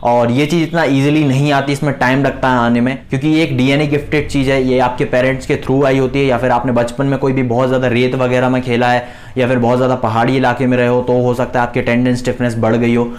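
A young man in his twenties coaching fast bowling, talking straight to camera on a lapel mic in a small room, earnest and explanatory, repeating his key points. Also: mid-range voice, little pitch movement. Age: 20s. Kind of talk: instructional video talking to camera.

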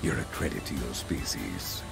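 An actor playing a towering, stern demon king in a video game, deep and gravelly, slow and commanding. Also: expressive, exaggerated voice. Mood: imperious approval. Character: towering demon king, stern, imperious, grudgingly fair father. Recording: music behind the voice.

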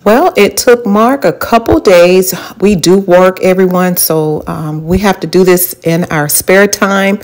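Woman, low-pitched, friendly how-to vlog narration. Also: a little slow, few pauses, darkish tone, faint music behind. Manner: chatty, encouraging how-to tips. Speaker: DIY home vlogger. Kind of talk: casual voice-over narration.

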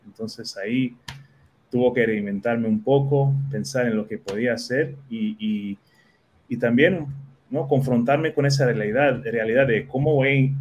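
A man's voice: medium pitch, slight background noise. Thoughtful Dutch-born Portuguese man, second-language speaker. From Netherlands. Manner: thoughtful, with many fillers and self-repeats. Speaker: guest. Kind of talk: casual video-call interview. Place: small home office.

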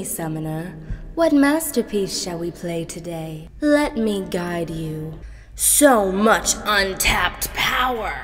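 A young woman in her twenties doing quick impressions of many characters in a cluttered bedroom, switching between whispery, husky and squeaky voices. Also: long reverb tail. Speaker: gaming video creator. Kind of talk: rapid-fire character impressions.

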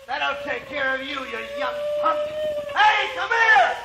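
An actor playing an aggressive man yelling threats roughly in an old radio drama. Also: extremely high pitch, sing-song, a little slow, reverberant, loud music behind the voice, full character voice. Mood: furious. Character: aggressive, shouting man seizing a suspect. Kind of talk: 1950s radio drama acting. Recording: very noisy old radio crowd scene.

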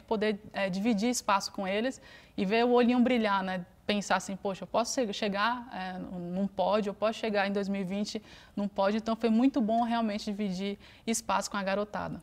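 A woman in her thirties from Brazil, a guest on a TV studio interview, speaking in a relaxed, reflective way with frequent fillers. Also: mid-range voice, fairly quick. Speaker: interview guest, Paralympic swimmer.

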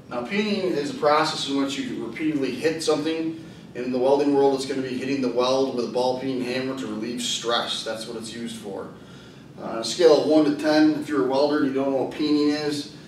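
A plain-spoken man in his thirties explaining things as an instructor in an informal whiteboard lesson. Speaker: welding instructor and video host. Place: hard-walled indoor classroom with whiteboard. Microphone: far camera. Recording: echoey room, distant camera microphone, faint rumble.

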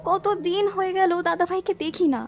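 A woman's voice: very high-pitched, level tone, brisk, gravelly, soft background music. A woman voicing a sentimental, devoted young married village woman in a cartoon family drama. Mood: wistful.

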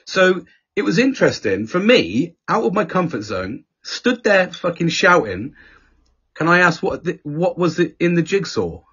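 A middle-aged man with a husky voice, telling a story in casual, sweary podcast chat and acting out the people he quotes. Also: high-pitched, sing-song, a little slow, a bit bright. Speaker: podcast host. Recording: dull lo-fi podcast audio.

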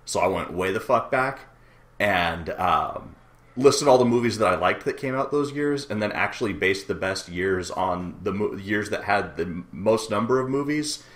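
A man's voice: lowish voice, animated, normal speed, a bit bright. A man co-hosting a podcast, chatting casually and joking, with loose swearing. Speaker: podcast co-host.

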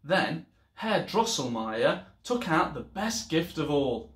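Slow, animated man reading in echoey room. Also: fairly high pitch. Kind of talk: storybook reading for children. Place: home living room.

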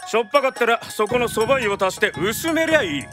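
A man's voice: very high voice, sing-song, sharp tone, faint music behind, heavily acted. An actor playing a blustering, hot-headed working man with an old downtown Tokyo accent in a radio drama. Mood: gruffly relenting. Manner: blustering, rapid-fire bullying slang. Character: hot-headed, rough Edo-style Tokyo tough guy.

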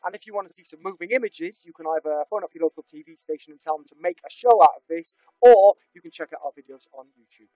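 A man's voice: on the high side, fast talker, hardly any pauses, dark tone, no echo, rough recording. A man podcast host reading a jokey, mock-dramatic promotional announcement through a robotic vocoder effect. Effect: vocoder, synthetic robotic filter.

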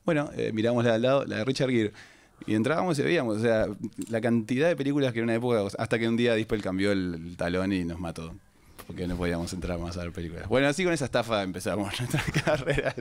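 Low-voiced Uruguayan man telling a rambling story. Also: fast. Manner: rambling, self-deprecating storyteller with many restarts. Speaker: press conference guest. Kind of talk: casual anecdote at a press conference. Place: cinema stage at a press conference table. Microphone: handheld mic on a stand.

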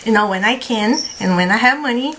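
A woman's voice: fairly high pitch, fairly quick, fairly bright tone, slight background noise, poor audio. A woman in her thirties with a Brazilian Portuguese accent, chatting casually to a webcam at home with many fillers. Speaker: beauty vlogger. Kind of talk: casual chat. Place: small room at home, indoors. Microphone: webcam at desk.

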